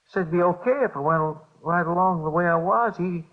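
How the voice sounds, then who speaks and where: An American man playing a scared small-time bookmaker under questioning, halting and repeating himself in 1950s radio style. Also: high-pitched, natural voice. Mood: nervous, defensive. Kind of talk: 1950s radio drama acting. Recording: dull vintage radio recording.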